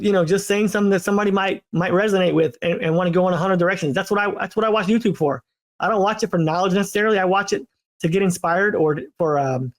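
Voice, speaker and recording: A man with a notably high voice hosts a podcast in loose, casual conversation. He rambles and repeats himself, and his stories come out in eager, stop-start bursts. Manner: animated, rambling, repeats words. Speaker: podcast host. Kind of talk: casual chat.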